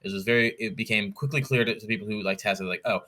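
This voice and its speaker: A man with a fairly low but bright, forward voice. He chats casually as a podcast guest, weighing his points in a measured, analytical way and thinking aloud. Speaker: podcast guest, competitive card game player. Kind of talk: casual interview chat.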